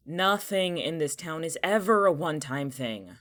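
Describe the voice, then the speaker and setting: Casting: male actor for jobless young cynic, superstitious and exasperated, quick to argue, in natural audio-fiction dialogue. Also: fairly high pitch, lively intonation, a bit bright. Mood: alarmed. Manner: sardonic, exasperated, argumentative.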